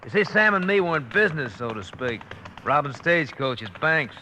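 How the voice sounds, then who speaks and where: An actor playing a wry, unrepentant captured gunslinger in an old radio western, folksy and sardonic. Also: male voice, on the high side, sing-song, moderate pace, sharp tone. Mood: grim, defiant. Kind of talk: 1950s radio western acting. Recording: slightly noisy old radio recording.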